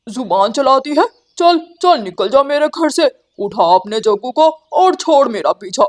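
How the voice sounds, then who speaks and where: A woman voicing a bossy, scheming male crow husband with a loud, scolding manner in a children's cartoon. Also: high voice, expressive, a little slow. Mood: angry, scolding.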